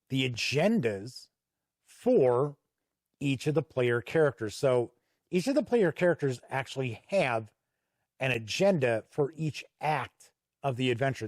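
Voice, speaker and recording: A man giving a casual product walkthrough as a reviewer, conversational and halting with frequent pauses. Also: medium pitch, lively intonation, dry recording, studio quality. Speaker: tabletop game reviewer and host.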